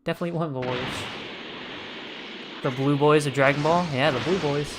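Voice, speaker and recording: A game streamer giving casual, playful commentary on play, with excitable outbursts. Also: medium pitch, a little fast, background noise. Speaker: livestreamer. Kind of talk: casual gameplay commentary with shouted move names.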